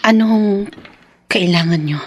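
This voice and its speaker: An actress playing a gentle, hurt young mother in a radio drama, soft-spoken and guarded. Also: mid-range voice, slow pace. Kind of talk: Filipino radio drama acting.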